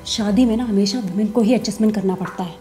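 A woman's voice: mid-range voice, quick pace, mellow, faint music behind, lightly acted. An actress playing a polite, hesitant young woman who mixes English into her talk. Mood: anxious. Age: young adult. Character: nervous young woman meeting boyfriend's mother. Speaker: girlfriend. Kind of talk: scripted short-film acting.

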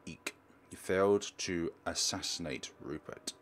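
A man's voice: low voice, a little slow, slight background noise. A man giving a walkthrough commentary as he plays a game. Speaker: let's player.

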